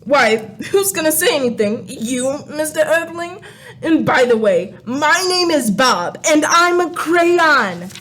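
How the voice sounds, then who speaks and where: A woman's voice: sits high, animated, takes it slowly, a bit bright, heavily acted. A woman playing a brash, sarcastic, impatient alien crayon in a comic radio play. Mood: defiant. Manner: flippant, scornful. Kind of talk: radio play comedy acting. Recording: faint background noise.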